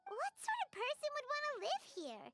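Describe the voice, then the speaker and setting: Squeaky, very high-pitched fairy sidekick. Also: female voice, wildly swooping pitch, moderate pace, heavy background noise, heavily acted. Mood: curious, chatty. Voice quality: squeaky, childlike. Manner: exclaiming, inquisitive, refers to self by name. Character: small chatty childlike fairy companion, curious, timid, third-person speaker. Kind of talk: animated anime game voice acting.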